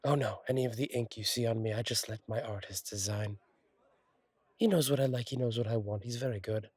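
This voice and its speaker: A man playing a kindly, easygoing fallen angel bartender in an intimate audio roleplay, talking in a husky, wry, conversational way with unhurried pauses. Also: medium pitch, darkish tone, no echo, natural voice. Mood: friendly, curious.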